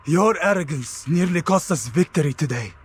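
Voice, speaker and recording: A bitter, accusing assassin for a video game. His voice is high and very husky, leaping in pitch with intense, dramatic delivery. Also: animated, normal speed. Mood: furious.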